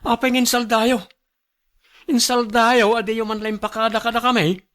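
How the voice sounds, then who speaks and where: Guy acting grown ilocano son in a radio drama, high pitched for a man, stern, fed up, scolding. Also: flat delivery, moderate pace, a bit bright. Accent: Filipino, Ilocano. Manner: scolding, firm. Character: stern adult son, exasperated, reproachful.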